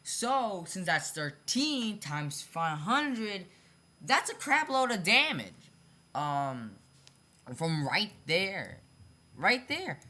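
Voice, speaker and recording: A hobbyist video creator with a sharp, bright voice explains card designs in a casual, unscripted way. They stumble over phrasing, circle back to repeat points and apologize for their own slips. The pitch sits in a middle range. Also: very animated, very slow. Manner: repetitive, self-correcting, stumbles over phrasing; apologetic about own mistakes.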